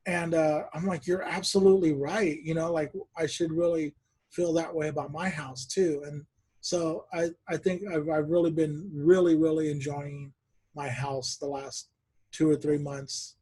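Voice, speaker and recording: A man hosting a podcast over a video call, telling stories in a relaxed chat and laughing often. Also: fairly high pitch, moderate pace, husky. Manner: laughs often, animated storytelling. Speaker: podcast host. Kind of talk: casual chat.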